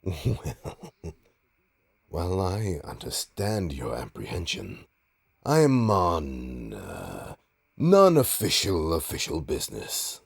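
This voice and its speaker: A man playing a suave, smug supervillain in an audio roleplay, teasing his nemesis with a pompous, mock-polite drawl and dramatic pauses. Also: extremely low pitch, wildly swooping pitch, full character voice. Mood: haughty, evasive. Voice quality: gravelly, husky. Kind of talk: audio roleplay monologue addressed to listener.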